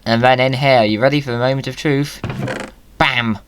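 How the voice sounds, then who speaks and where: Guy chatting over his block game video, kinda silly and playful, normal pitch. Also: fairly even intonation, normal speed, a bit bright, slight background noise. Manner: playful, whimsical wording. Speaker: video creator. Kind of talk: casual gaming commentary.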